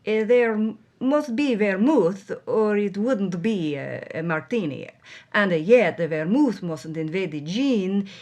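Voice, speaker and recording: A woman voicing a suave, philosophical male Spanish film director, deliberate and hesitant as the character savors each phrase in a dramatized story. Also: mid-range voice, lively intonation, a little mellow, close to an everyday voice. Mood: wry, pontificating.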